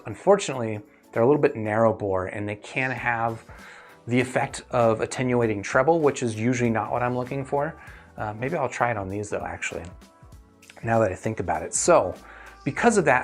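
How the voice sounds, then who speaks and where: A man hosts a relaxed, conversational livestream in a medium-pitched voice. He talks in a thoughtful, explanatory way, qualifying his opinions as he answers. Music plays faintly behind him. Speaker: host reviewing audio gear and answering viewer questions. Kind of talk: casual livestream Q&A commentary.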